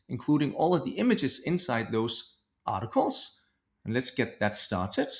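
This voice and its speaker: A man presents a software walkthrough in an informal, conversational way. His mid-pitched voice moves around a lot in intonation and has a clear creak to it. He explains things step by step, as a product demonstrator would. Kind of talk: conversational product demo narration.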